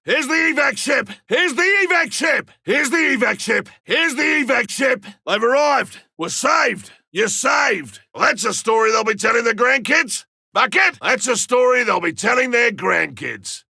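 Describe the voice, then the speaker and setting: An actor voicing a gruff, wry, battle-hardened lone monster hunter in a video game, with a blunt way of calling out. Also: male voice, very high voice, animated, a little slow, pushed and bright, no room sound, raised voice, studio quality, exaggerated voice. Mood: pleased, brisk. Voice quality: gravelly, raspy. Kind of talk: video game combat callouts.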